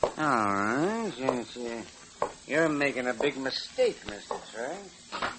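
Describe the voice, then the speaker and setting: An actor playing a slippery, crooked card gambler in an old Western radio drama. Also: male voice, mid-range voice, sing-song, takes it slowly. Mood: oily, evasive. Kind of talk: 1940s Western radio acting. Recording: noisy old radio transcription.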